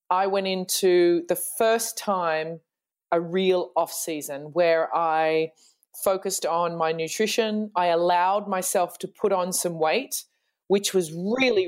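Earnest lower-pitched woman guest. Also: darkish tone. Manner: earnest, reflective, some restarts. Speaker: guest, fitness trainer. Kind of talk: casual interview chat.

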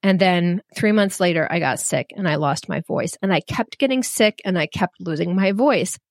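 A middle-aged woman telling a personal story in a frank, animated and self-deprecating way. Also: lowish voice, moderate pace, no room sound, studio quality. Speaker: storyteller guest.

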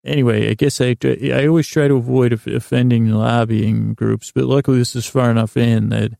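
A man hosting a sleep podcast in a rambling, halting bedtime monologue, losing his thread and restarting in a gravelly voice. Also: mid-range voice, fairly even intonation, warm tone, dry recording, studio quality. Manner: meandering, halting, self-interrupting, absent-minded.

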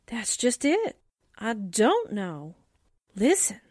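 A woman's voice: a little high, wildly swooping pitch, slow, darkish tone, a little hiss or hum, heavily acted. A woman playing a plucky, suspicious young newspaper secretary in a theatrical 1940s radio drama style. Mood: anxious secrecy.

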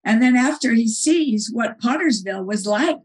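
A woman's voice: fairly high pitch, animated, normal speed, breathy edge. A woman author as a guest, earnestly explaining her ideas and retelling stories in an interview. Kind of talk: conversational interview answer.

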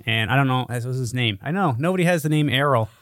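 A man chatting casually as a podcast co-host. His pitch is lively and swings widely, and he jokes in a crude, irreverent way. The sound is dry, with little room. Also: quick pace, no room sound. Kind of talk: casual comedic chat.

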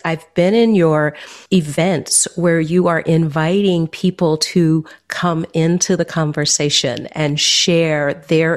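A woman interviewer who speaks with enthusiasm, explains her ideas through metaphors and asks probing questions. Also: deep voice. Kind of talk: conversational interview.

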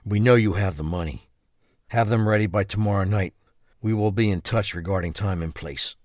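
An actor playing an ordinary husband caught up in a crime audio drama. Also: male voice, on the low side, moderate pace, darkish tone, no echo, clean studio sound, lightly acted. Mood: cold, reading. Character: distressed, defensive husband of a kidnapped wife.

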